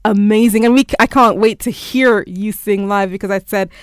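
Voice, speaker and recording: Smooth-voiced Tanzanian woman hosting an interview. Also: medium pitch, steady tone, moderate pace, dry recording, clean studio sound. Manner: chatty, playful, stumbles over words. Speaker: interview host. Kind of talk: casual interview.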